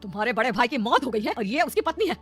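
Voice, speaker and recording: Middle-aged mother-in-law, female actor, cartoon melodrama: fast, fairly high, protective, admonishing, anxious about society, over music. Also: expressive, a bit bright, breathy edge. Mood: shocked, scolding. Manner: earnest, admonishing. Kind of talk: Hindi cartoon family melodrama. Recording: music behind the voice.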